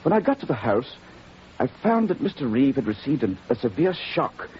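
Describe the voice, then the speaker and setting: A dull, faintly hissy vintage radio recording presents an actor as an earnest male doctor. He sounds worried and proper, pausing and hesitating as he gives his careful account. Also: mid-range voice, very animated, natural voice. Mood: grave, urgent. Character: earnest, worried male country physician seeking help. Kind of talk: 1940s radio drama acting.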